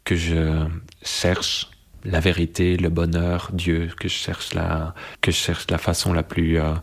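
Low-voiced thoughtful young man. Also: completely flat delivery, creaky. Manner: thoughtful, searching, many pauses and restarts. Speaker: interviewee. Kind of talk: reflective conversation.

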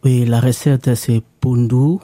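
A man speaking with a very dark, deep voice, recorded dry and close. His is Lingala, and he talks haltingly as a radio guest, repeating words while he looks for the next one. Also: steady tone, dry recording. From DR Congo. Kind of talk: casual radio chat.

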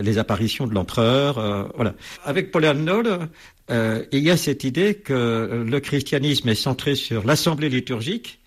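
A man's voice: mid-range voice, slightly rough. A man being interviewed as a bell expert, explaining at length with many hesitations and restarts.

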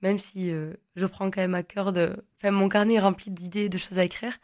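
A young woman whose first language is Occitan, talking at an everyday pitch. She answers in a loose, rambling way, full of fillers and false starts. She sounds like a guest chatting in an interview. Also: steady tone, brisk, a little mellow. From Languedoc, southern France. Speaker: guest, online content creator.

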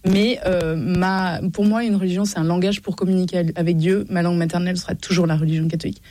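A woman's voice: on the low side, fairly even intonation, fast talker, mellow, no echo. A woman talking as a guest, reflecting thoughtfully and earnestly. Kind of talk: conversational reflection.

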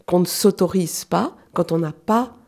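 A woman from Switzerland speaks in a low, mellow voice as a radio guest. She talks thoughtfully and haltingly, with many fillers, as she explains her work in a studio interview. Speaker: guest, clown and actress.

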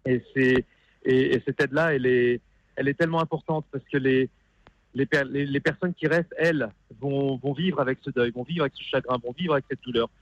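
A man's voice: mid-range voice, steady tone, no echo. A man speaks over a slightly dull phone connection with no room sound. His mid-range voice gives an earnest testimony, often doubling words as he looks for the next one. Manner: halting, repeats words as he searches. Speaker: phone-in caller, funeral worker. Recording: dull band-limited phone call.

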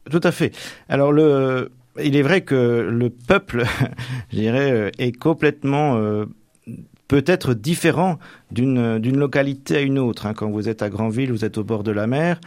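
A middle-aged man from Normandy giving reflective, halting interview answers, often tagging the ends of his phrases. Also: mid-range voice, darkish tone, no room sound, clean studio sound. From Manche, Normandy, France. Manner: hesitant, halting, frequent tags at phrase ends.

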